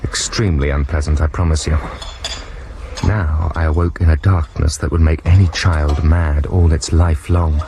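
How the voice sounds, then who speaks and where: An actor playing a wry adventurer recounting his ordeal in a radio drama, in formal, understated, deadpan first-person narration. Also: a bass voice, moderate pace. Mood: grim understatement. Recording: noisy 1990s radio drama broadcast.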